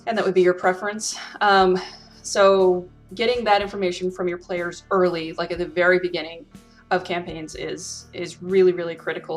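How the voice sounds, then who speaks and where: A woman talking casually and reflectively, in an earnest and emphatic manner, as a role-player sharing advice. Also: on the low side.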